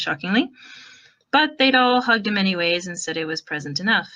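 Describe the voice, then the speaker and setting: A woman's voice: fairly low pitch, bright, forceful tone, low-quality recording. A woman reading fan fiction aloud as an amateur narrator, in a wry, informal storytelling manner.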